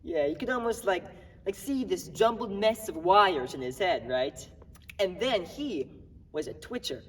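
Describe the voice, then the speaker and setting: A young man performing a dramatic monologue as a defiant, grieving baker, agitated and wry, with halting, streetwise talk. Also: high-pitched, animated, fairly bright tone, slightly rough, heavily acted. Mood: fond, troubled. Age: young adult. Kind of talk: dramatic monologue at a speech contest. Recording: echoey hall, recorded from far off.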